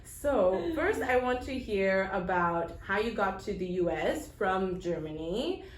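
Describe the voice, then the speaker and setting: A woman hosting a casual interview, bubbly and quick to laugh and affirm. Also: mid-range voice, big pitch swings, reverberant, a little hiss or hum, low-quality recording. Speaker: podcast host.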